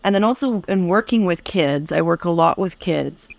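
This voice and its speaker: An American woman co-hosting a podcast, reflecting at length in a warm, affirming conversational way with many restarts. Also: lowish voice, normal speed, vocal fry, dry recording. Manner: reflective, affirming, speaks in long winding sentences with restarts. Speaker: podcast co-host.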